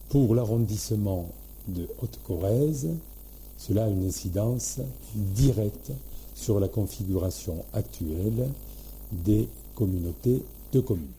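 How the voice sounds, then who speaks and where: A man with a fairly deep, soft-edged voice explains official matters formally. He moves very slowly, breaking his sentences into short, measured phrases. A faint hum and light noise sit behind him. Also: low-quality recording. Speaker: local government official.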